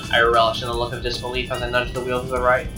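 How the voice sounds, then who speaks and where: A man reading a visual novel aloud as dramatic, expressive narration, with game music behind him. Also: mid-range voice, brisk. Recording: slightly distant mic under game music.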